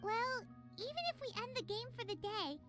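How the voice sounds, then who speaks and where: A woman voicing an excitable, greedy little fairy companion in a video game, squeaky and childlike, speaking of herself in the third person. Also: normal speed, over music. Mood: defensive, eager.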